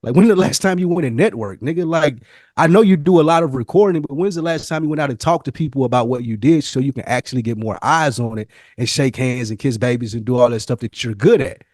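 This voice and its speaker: A man's voice: medium pitch, quick pace, darkish tone, no room sound, studio quality. A man co-hosting a podcast, telling stories and reflecting candidly in casual, slangy language with some swearing. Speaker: podcast co-host.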